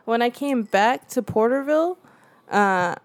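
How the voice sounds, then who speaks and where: A chatty young woman from Central California, talking easily as a guest in a relaxed conversation. She laughs often and slips into playful voices when she quotes people. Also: fairly high pitch, unhurried. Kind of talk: casual conversation.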